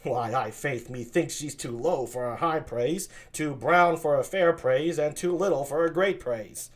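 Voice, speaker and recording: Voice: mid-range voice, measured pace, lightly acted. A reader voicing a wry bachelor who mocks love and marriage. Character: mocking bachelor, scornful of love.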